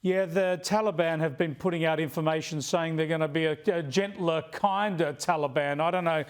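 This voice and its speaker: A man with a slightly high voice presents a news interview. He talks conversationally and with sympathy as he puts questions to his guest. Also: fairly even intonation, a little fast, clear, resonant tone. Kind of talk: broadcast interview.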